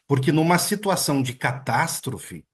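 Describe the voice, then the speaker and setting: A man hosting an interview in a relaxed, chatty way, often tagging his sentences with "né". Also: fairly high pitch, moderate pace, husky. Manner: relaxed, chatty, with many filler "né"s. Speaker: interviewer and host. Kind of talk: casual interview conversation.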